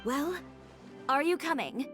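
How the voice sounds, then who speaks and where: A self-assured young female boutique owner from a video game. Her voice sits a little high, and she is curt, dry and cool. Music is clearly audible underneath. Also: big pitch swings, slow pace, heavily acted. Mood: brisk. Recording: music behind the voice.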